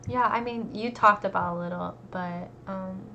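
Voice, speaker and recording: A young American woman, an art student, talking casually and relaxed, with lots of fillers. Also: mid-range voice, moderate pace, vocal fry. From United States. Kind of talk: casual conversation. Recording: dull lo-fi recording with faint noise.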